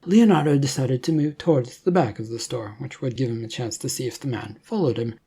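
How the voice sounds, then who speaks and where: A narrator delivers scripted adventure fiction in a dark, relaxed tone. The voice is medium in pitch and breathy around the edges. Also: fairly quick.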